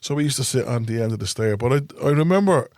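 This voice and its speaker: A man with a North Side Dublin accent, co-hosting a podcast and telling animated stories full of laughter. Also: medium pitch, fast talker, dry recording, clean studio sound. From Ireland. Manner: animated storyteller, slips into mimicked voices, laughs often. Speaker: podcast co-host. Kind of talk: casual chat, storytelling.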